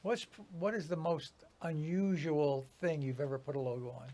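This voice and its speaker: An older man born in New Jersey, hosting a relaxed business interview in a friendly way, chuckling and restarting his questions. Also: fairly high pitch, moderate pace. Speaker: show host. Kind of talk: casual interview chat.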